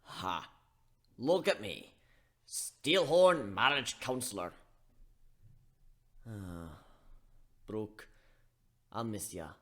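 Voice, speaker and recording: A man's voice: lowish voice, expressive, drawn-out words, long pauses, fairly bright tone, full character voice. A man voicing a big-hearted giant minotaur with a blunt, folksy, bossy way of talking. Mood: self-amused, then wistful, grieving. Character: gruff, big-hearted giant male minotaur airship crewman.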